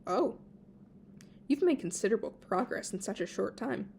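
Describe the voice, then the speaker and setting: A woman's voice: mid-range voice, animated, moderate pace, creaky, darkish tone, slight background noise. A woman voicing a playful, teasing male fae student who fusses and instructs, in a storybook reading. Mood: breezy. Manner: breezy, chiding, instructing.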